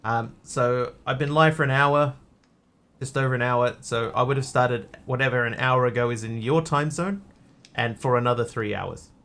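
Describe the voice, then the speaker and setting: A man's voice: medium pitch, normal speed, fairly bright tone. A man with a mixed Australian and New Zealand accent, chatting casually as a game streamer and answering his chat. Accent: Australian-New Zealand hybrid. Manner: rambling, easygoing, answers chat.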